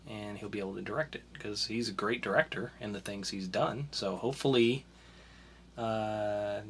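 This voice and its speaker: Man, podcast host, bright tone, casual news chat, upbeat. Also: fairly low pitch, moderate pace, a little hiss or hum. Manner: upbeat hype-man intro, then relaxed chatty.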